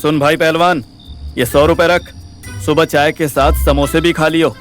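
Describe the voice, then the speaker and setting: A man's voice: fairly high pitch, fairly even intonation, moderate pace, faint music behind, lightly acted. A man playing a brash, swaggering, foul-mouthed young sleuth in an audio drama, talking in bossy slang. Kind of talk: acted audio drama dialogue.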